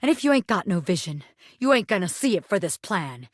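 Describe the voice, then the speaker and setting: A woman voicing a cocky, bossy small-time gangster boss, a living pin in a video game, who talks tough but has a soft spot. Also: mid-range voice, big pitch swings, brisk, dry recording, clean studio sound. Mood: scheming, cocky. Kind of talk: video game character voice acting.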